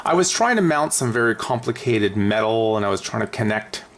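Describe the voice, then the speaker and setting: A man's voice: lowish voice, expressive, a little fast, fairly bright tone. A man talking casually and unscripted through a hands-on how-to as an aviation vlogger.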